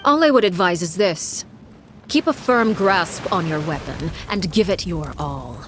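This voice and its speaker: The Knave, an icy commanding matriarch. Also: female voice, fairly low pitch, background noise. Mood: menacing. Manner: measured, formal, icily calm. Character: cold, commanding adult woman, stern head of a house. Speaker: villainous house leader.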